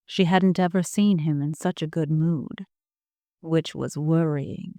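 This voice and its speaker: A woman reading scripted narration for an audio drama in a measured storytelling manner. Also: deep voice, fairly even intonation, warm tone, dry recording. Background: music.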